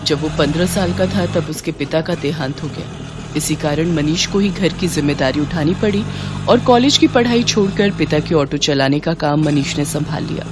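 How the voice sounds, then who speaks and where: A woman's voice: low voice, moderate pace, background noise. A woman narrating a scripted moral story as the storyteller.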